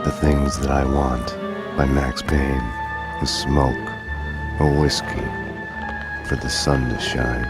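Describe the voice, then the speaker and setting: An actor playing a brooding, haunted male police detective in a video game, narrating in a terse, deadpan noir style. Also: rumbling low, slow, pauses often. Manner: deadpan, terse, world-weary. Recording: loud music behind the voice.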